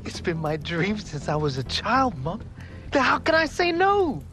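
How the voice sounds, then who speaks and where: An actor playing an eager eighteen-year-old footballer with a British accent, earnest and boyish in delivery. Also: male voice, sits high, wildly swooping pitch, unhurried, bright, forceful tone, faint music behind, exaggerated voice. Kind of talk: video game cutscene acting.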